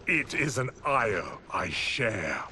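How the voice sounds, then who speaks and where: A man's voice: mid-range voice, sing-song, pushed and bright, a little hiss or hum, exaggerated voice. A man playing a grim, haughty sorcerer in a video game cutscene, speaking formally and with cold disdain. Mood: grave, wry. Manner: formal, archaic, deliberate. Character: grim, haughty male sorcerer, coldly wrathful.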